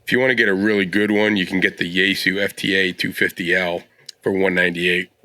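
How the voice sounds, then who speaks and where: A man's voice: low voice, level tone, fairly quick, sharp tone, no echo. A man on a casual panel chat, blunt and questioning, with a salty tongue. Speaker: podcast panelist.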